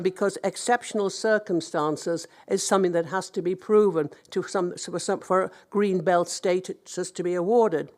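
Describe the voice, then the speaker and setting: A woman's voice: on the low side, a little mellow. A woman councillor with a blocked-up nose from a cold, making an earnest, hesitant statement at a public hearing. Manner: earnest, hesitant, with many ums and restarts.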